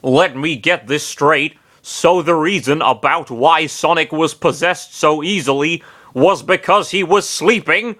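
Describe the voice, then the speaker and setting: A man voicing a grim young man with a flat, disbelieving manner. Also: fairly high pitch, a little slow, few pauses. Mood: incredulous.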